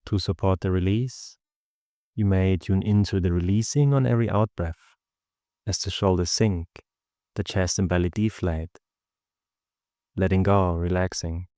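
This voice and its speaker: A man leading a guided meditation as a teacher, speaking in a calm, measured, instructional way with pauses. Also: low voice, no echo, studio quality.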